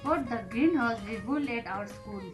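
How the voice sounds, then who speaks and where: A woman's voice: on the high side, animated, moderate pace, music in the background. A woman reading a children's bedtime story aloud. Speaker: story reader.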